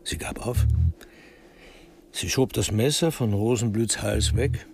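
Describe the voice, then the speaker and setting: An actor as the dry, wry narrator of a radio crime drama, telling the story in a measured, literary way. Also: male voice, lowish voice, creaky, a little mellow, faint music behind.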